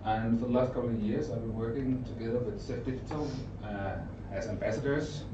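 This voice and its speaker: A middle-aged man from Denmark with a Danish accent, giving an informal conference presentation in short, halting, explanatory phrases. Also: mid-range voice, steady tone. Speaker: trainer and consultant giving a presentation. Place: indoor event room with an audience. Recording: roomy, distant mic, narrow muffled sound, noisy.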